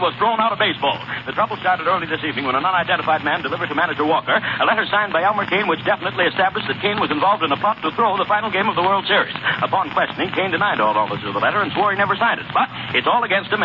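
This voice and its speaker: Rapid-fire high-voiced radio newsman played by a man. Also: close to an everyday voice. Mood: urgent. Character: urgent, sensational radio newscaster. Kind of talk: 1930s radio news bulletin acting. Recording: noisy, muffled vintage radio recording.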